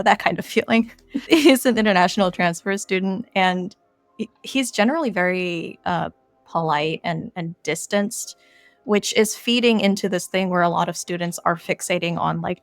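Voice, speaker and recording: Woman, game creator, casual interview talk, gravelly creak, close dry sound. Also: lowish voice, dry recording. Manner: informal, explanatory, peppered with "like" and "kind of".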